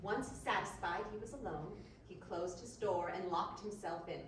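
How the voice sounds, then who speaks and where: A woman's voice: medium pitch, moderate pace. A woman reading scripted story narration in a readers theater. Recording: very echoey room, distant mic, faint noise.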